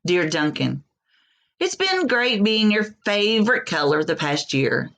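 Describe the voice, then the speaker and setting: A woman's voice: lowish voice, big pitch swings, measured pace, fairly bright tone, full character voice. A woman voicing a weary, stubby little crayon in a storybook, politely complaining and tired.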